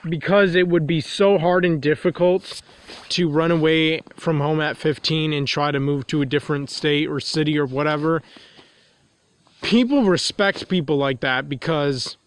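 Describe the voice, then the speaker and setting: A man with a nasal, congested voice, talking outdoors in the cold in a rambling vlog monologue that keeps circling back to restate itself. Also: fairly high pitch. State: congested from a runny nose. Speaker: vlogger.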